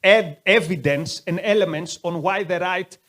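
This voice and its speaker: A man's voice: high-pitched, moderate pace, fairly bright tone. A man leading a conversational lecture, taking questions from the audience in a museum hall. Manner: conversational, uses many "like" asides.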